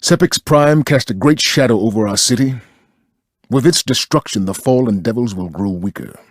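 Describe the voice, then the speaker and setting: An actor playing a weary, grave veteran military commander in a video game, with a gravelly voice and measured manner. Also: male voice, medium pitch, clean studio sound, close to an everyday voice. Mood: grave warning. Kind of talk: dramatic video game acting. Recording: hall reverb.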